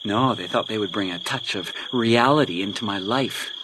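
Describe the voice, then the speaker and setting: A man's voice: fairly low pitch, natural voice. Man acting taunting, vengeful villain over noisy ambience. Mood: bitter, menacing. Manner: taunting, theatrical, deliberate when reading letters aloud. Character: menacing vengeful man, grieving brother, cruelly mocking and taunting. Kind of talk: detective radio drama acting. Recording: noisy night outdoor ambience with insects.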